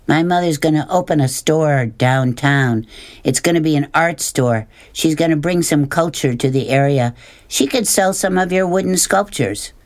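A man voicing a bold, stubborn young girl who argues insistently and speaks in a raised, brisk voice. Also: on the high side, voice pushed up, lightly acted. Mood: chatty, bossy.

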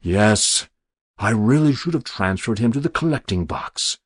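Man playing a smooth, sinister, leisurely devil. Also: mid-range voice, big pitch swings, a little slow, dry recording, studio quality, voice pushed up, heavily acted. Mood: simpering, pious. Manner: easy, unctuous, mock-pious, precise diction. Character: smooth sinister devil posing as genteel businessman. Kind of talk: dramatic literary storytelling.

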